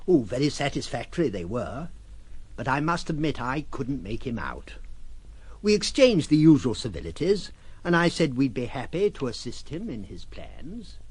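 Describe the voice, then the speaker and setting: Husky, civil colonial merchant Tesman. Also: medium pitch, big pitch swings, moderate pace, darkish tone. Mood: puzzled, polite. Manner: hesitant, conversational, civil. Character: puzzled, civil male trading merchant Mister Tesman, chuckling raconteur. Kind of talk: audio drama narration in character.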